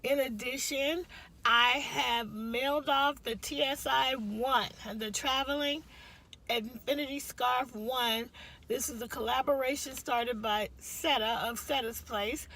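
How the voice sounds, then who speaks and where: A woman's voice: high-pitched, steady tone, takes it slowly, pushed and bright. A woman, chatting casually to camera from a parked car, rambling and laughing often, still getting over a cough. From Chicago, US Midwest. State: slight cough, recovering voice. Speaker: craft vlogger.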